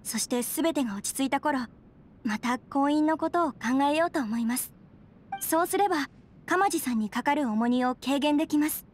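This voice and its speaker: A woman's voice: high voice, animated, moderate pace, a little hiss or hum. An actress playing an earnest, dignified young noblewoman in an anime game, speaking politely and formally. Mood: resolute. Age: young adult. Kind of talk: Japanese anime game voice acting.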